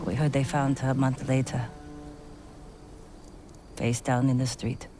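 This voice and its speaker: An actress playing a tough, guarded, fiercely protective older sister in a video game, speaking bluntly and tersely. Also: female voice, extremely low pitch, flat delivery, unhurried. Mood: subdued, reflective. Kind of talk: dramatic game cutscene dialogue. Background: music.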